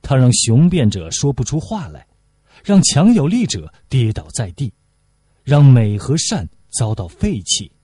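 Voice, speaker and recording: A man's voice: medium pitch, sing-song, measured pace, dark tone, slightly rough, no echo. A man reading literary prose aloud as an audiobook narrator.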